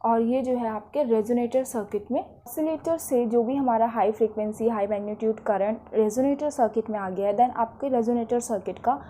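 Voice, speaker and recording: A woman's voice: fairly high pitch, normal speed, few pauses, mellow, a little hiss or hum. A woman with an Indian accent explains material as a lecturer, in a didactic way with short check-in questions. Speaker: physiotherapy lecturer.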